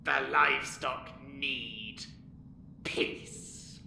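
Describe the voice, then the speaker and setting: A hungry fear-eating monster from an audio drama, speaking with extreme slowness and a harsh, gravelly rasp. Its tone is sharp and piercing. The voice sits in a roomy echo with faint ambience behind. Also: medium pitch, fairly even intonation, slight background noise, heavily acted. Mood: menacing. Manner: drawn-out, hungry, menacing. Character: slow creature feasting on human fear.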